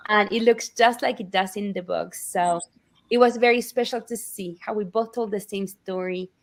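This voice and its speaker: A woman's voice: mid-range voice, normal speed. Earnest woman. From Argentina. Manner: animated, earnest storytelling with frequent restarts. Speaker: author guest. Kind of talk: conversational interview.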